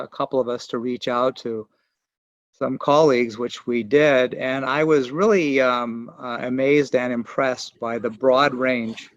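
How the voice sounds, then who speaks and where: Halting older man on a dull call line. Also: mid-range voice, dry recording. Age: 60s. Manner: halting, searching for words with many restarts. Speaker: archaeology and geography professor giving a memorial tribute. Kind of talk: personal memorial remarks. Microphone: remote video call.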